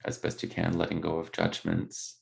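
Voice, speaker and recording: A man leading a guided body scan meditation, speaking gently in unscripted, hesitant phrases. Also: sits low, completely flat delivery, moderate pace, gravelly.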